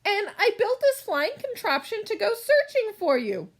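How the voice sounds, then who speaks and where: Scatterbrained storybook mother voiced by a woman, apologetic, pleading, high and swooping. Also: sing-song, normal speed.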